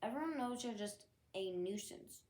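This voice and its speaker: A woman's voice: mid-range voice, lively intonation, normal speed, a little mellow, roomy sound, natural voice. A woman voicing a mean, dismissive lily in a storybook, sneering as leader of a flower clique.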